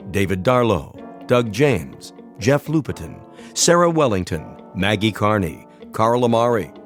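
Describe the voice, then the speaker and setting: A male announcer reading scripted credits for a radio drama. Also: medium pitch.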